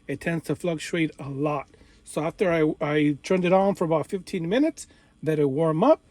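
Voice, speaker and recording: A man presenting an informal hands-on technical demonstration, explaining step by step in halting, instructional phrases. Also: on the high side, darkish tone, dry recording. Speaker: tutorial presenter, radio hobbyist.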